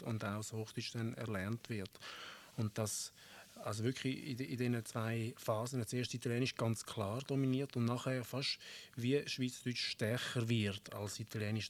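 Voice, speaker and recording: A middle-aged man with a Swiss German accent, explaining a topic in measured commentary with frequent fillers. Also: mid-range voice, a little hiss or hum. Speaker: presenter.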